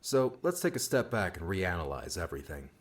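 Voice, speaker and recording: Man acting a deep-voiced, level-headed guardian. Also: very animated, a little fast. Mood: calm, practical.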